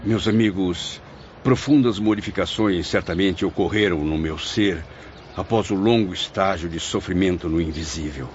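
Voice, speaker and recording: An actor in a radio drama playing an anguished, fearful spirit of a writer, speaking in formal, solemn language. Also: male voice, low-pitched, moderate pace, slight background noise, close to an everyday voice. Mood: fearful, troubled. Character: Camilo, anguished, fearful male writer's spirit, long dead by suicide. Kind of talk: Brazilian radio drama acting. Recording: dull lo-fi radio drama recording, music bed. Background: music.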